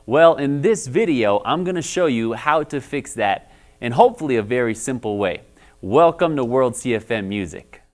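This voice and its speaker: A man with a lower bass-baritone voice, teaching a church music lesson in a friendly, explanatory way and often checking in with "all right?". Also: animated, moderate pace, darkish tone. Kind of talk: instructional video lesson.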